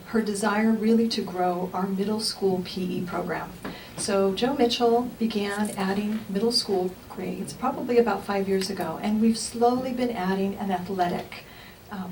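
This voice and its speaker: A woman gives a short, earnest acceptance speech at a steady pace. She sounds distant from the mic, with light noise in the room behind her. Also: mid-range voice. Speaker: school representative accepting a grant.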